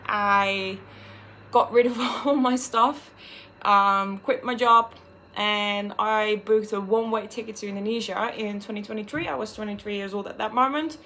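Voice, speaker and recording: A woman's voice: mid-range voice, steady tone, moderate pace, bright, forceful tone, slight background noise. A woman in her twenties from the Netherlands, speaking English with a Dutch accent, talking frankly and swearing freely in a candid personal vlog. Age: 20s. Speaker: vlogger.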